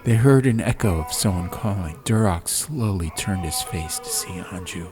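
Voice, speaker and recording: A man's voice: sits low, moderate pace. A man reading an atmospheric fantasy audiobook as a scripted storyteller. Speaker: audiobook narrator. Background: music.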